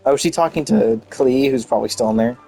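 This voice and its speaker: Casting: male streamer, medium pitch, casual and rambling, faint game music behind. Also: quick pace, gravelly, warm tone. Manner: rambling, thinking aloud.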